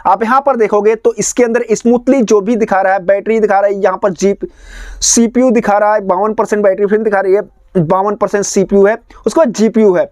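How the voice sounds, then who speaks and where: A man's voice: high-pitched, steady tone, a little fast, darkish tone, dry recording. Man giving a dry-sounding phone tutorial. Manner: repetitive, instructional phrasing. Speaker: tech tutorial host. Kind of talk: step-by-step phone tutorial narration.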